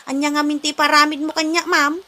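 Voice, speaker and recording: A woman's voice: sits high, little pitch movement, a little fast, pushed and bright. A woman with an Ilocano accent playing a cheeky, sassy housemaid who talks back in a comedy drama. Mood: sassy, grudging. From Philippines. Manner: sassy, giggly.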